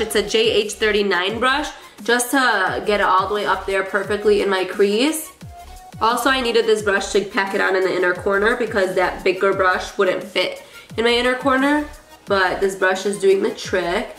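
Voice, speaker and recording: A woman's voice: medium pitch, normal speed, fairly bright tone. A young woman with a cold, sounding congested and nasal, chatting about her makeup in a gushing, casual way. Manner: chatty and gushing, with filler ums. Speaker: makeup artist and beauty vlogger. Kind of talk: casual makeup tutorial chat. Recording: echoey room with faint music.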